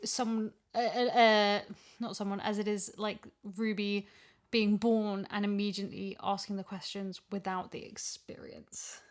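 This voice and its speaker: A woman's voice: medium pitch, level tone, measured pace. Reflective woman in casual discussion. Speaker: voice actor discussing her character.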